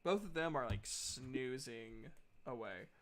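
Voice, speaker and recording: A man's voice: mid-range voice, animated, slow. A man narrating casually as game master of a tabletop game.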